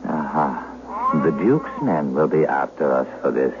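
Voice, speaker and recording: An actor playing a stern, scolding old shepherd in an old-time radio drama. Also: male voice, extremely low pitch, lively intonation, a little slow, full character voice. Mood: scolding, agitated. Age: older. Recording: muffled, noisy old radio recording.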